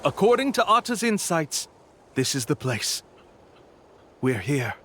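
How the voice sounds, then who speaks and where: An actor playing a slick, smug, smooth-talking scam tour guide in a video game. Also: male voice, fairly high pitch, big pitch swings, unhurried, pushed and bright, a little hiss or hum, full character voice. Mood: dismissive. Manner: smooth-talking, self-assured, taunting.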